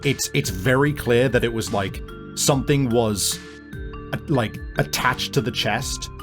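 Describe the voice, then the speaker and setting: A man improvising narration as a tabletop game master, explaining things in a halting, wordy way. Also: mid-range voice, over music.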